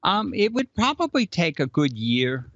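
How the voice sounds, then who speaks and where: A man's voice: sits high, sing-song, a little slow, a bit bright, no echo, lo-fi sound. A man with a Boston accent, a guest answering questions in an easygoing, slightly hesitant way. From Boston area. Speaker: guest, shoe store employee. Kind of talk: casual interview answers.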